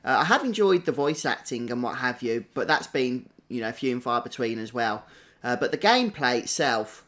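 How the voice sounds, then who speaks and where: A man's voice: medium pitch, lively intonation, normal speed, a bit bright. A man giving casual, chatty gameplay commentary, thinking aloud as a streamer doing a walkthrough.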